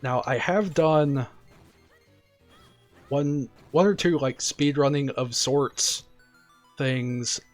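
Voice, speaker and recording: A man streaming a video game, talking casually and quietly about what he's doing in a relaxed, chatty way. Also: mid-range voice, very slow, long pauses, faint music behind. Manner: soft-spoken, relaxed and chatty. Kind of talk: casual gameplay commentary.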